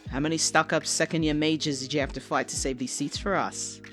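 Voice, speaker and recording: A wry young student mage played by a woman speaks casually in a fantasy audio drama. The voice is notably low, with soft music behind. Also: steady tone, a little fast, lightly acted.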